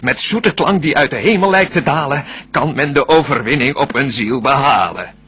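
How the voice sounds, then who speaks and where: An actor playing a boastful, vengeful demon tempter in a radio play, with drawn-out, theatrical verse delivery. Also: male voice, mid-range voice, expressive, pushed and bright, breathy edge. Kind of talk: radio drama verse acting.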